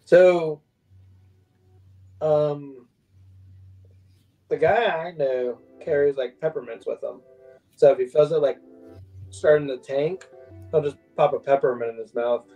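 A man's voice: fairly high pitch, measured pace, pauses often, dark tone, dull audio, faint music behind. A man chatting casually as a guest over a video call from a room at home. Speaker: guest co-host.

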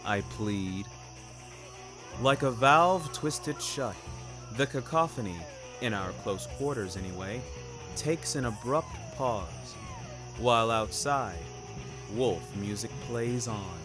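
Guy narrating a fiction podcast, slow and dramatic, kinda wry, music behind him. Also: mid-range voice. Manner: wry, theatrical, ornate delivery. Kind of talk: scripted first-person story narration.

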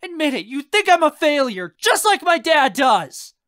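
A narrator plays a wounded teenager in an audiobook drama. The voice jumps high and loud, hot with indignation, flinging out hurt accusations. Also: big pitch swings, moderate pace, dry recording, studio quality. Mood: angry, hurt. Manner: shouts in indignant outbursts. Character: hot-tempered, wounded teenager arguing with family.

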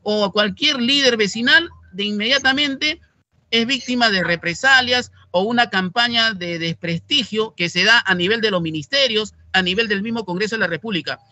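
High, bright-voiced impassioned man. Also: normal speed, dry recording. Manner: emphatic, indignant, repeats for stress. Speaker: mayor. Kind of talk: impassioned address to a committee. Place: indoor office. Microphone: video call.

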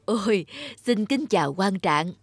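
A kindly, humble old villager over music. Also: female voice, medium pitch, lively intonation, unhurried, slightly rough, dry recording, studio quality. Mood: astonished. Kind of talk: animated folk tale acting. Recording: music behind the voice.

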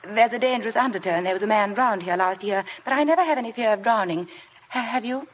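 An actress playing a shy, self-effacing young paid companion in a 1950s radio drama. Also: female voice, medium pitch, fast, slight background noise, lightly acted, rough recording. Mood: nervous, eager. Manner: hesitant, apologetic. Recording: old radio broadcast with music.

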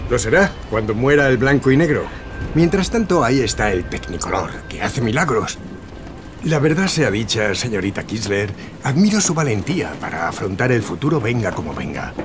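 An actor playing a courteous, flattering movie magnate in an audio drama, formal and a little hesitant. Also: male voice, mid-range voice, moderate pace, over music, close to an everyday voice. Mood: gallant, amused.

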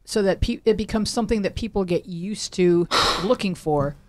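A woman's voice: medium pitch, moderate pace, no echo. A woman hosting a conversational podcast interview, close on a studio microphone. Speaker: podcast host. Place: small podcast studio, indoors. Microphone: close studio microphone with headphones.

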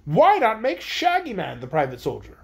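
An earnest young former soldier from a storybook protests his case in a raised voice, played by a man. The sound is husky with a rough edge. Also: high voice, wildly swooping pitch, moderate pace, pitched above the performer's own voice, heavily acted. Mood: protesting.

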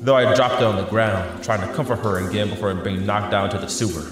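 A man's voice: fairly low pitch, steady tone, a little fast, natural voice. Echo-drenched man acting a anxious young superhero. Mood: tense narration. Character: anxious, battered young male superhero narrating his ordeal. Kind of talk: dramatic audio drama acting and first-person narration. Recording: very echoey hall-like reverb, music behind.

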